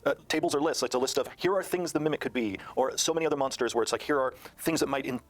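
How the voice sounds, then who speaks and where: A man's voice: medium pitch, very fast. A man speaking as a panelist, giving appreciative comments in a discussion.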